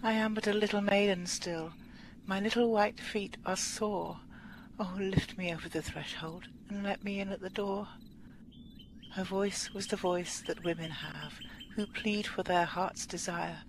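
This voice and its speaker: A woman reading poetry aloud in an expressive, measured and dramatic manner. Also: medium pitch, background noise. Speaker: poetry reader.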